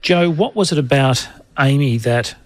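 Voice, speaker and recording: A man's voice: medium pitch, moderate pace, no echo. A man hosting an interview, asking friendly prompting questions into a close microphone. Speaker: radio interviewer.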